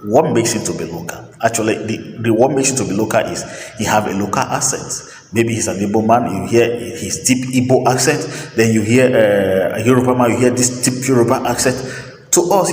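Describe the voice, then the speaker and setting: A man's voice: mid-range voice, darkish tone, slight background noise. Man preaching in an echoey room. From Nigeria. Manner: preachy, emphatic, repeats phrases, many fillers. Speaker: host. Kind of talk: opinionated monologue. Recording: roomy echo with long reverb, faint ambience.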